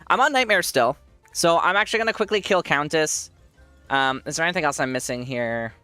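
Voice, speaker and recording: A congested man streams his gameplay in a loose, rambling way, restarting sentences and laughing a lot. His voice sits a little high for a man and sounds bright and smooth. The recording is dry and close, with faint music underneath. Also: expressive, a little fast, no room sound. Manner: rambling, self-correcting, laughs often. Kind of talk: casual gameplay commentary.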